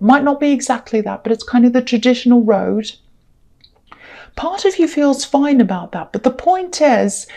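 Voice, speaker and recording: A woman reads tarot cards in a mellow, slightly raised voice. She is croaky with a tiring voice, and she talks gently and reassuringly, pausing with ums as she thinks aloud. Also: fairly high pitch. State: croaky, losing her voice. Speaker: tarot reader. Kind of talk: casual tarot reading to camera.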